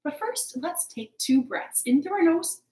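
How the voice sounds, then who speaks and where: A woman hosting a storytime for young children, talking in an animated, encouraging, playfully exaggerated way, recorded in a living room. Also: very high voice, measured pace. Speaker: children's storytime host at a public library. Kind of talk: children's storytime, rhymes and counting. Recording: roomy, echoing home recording.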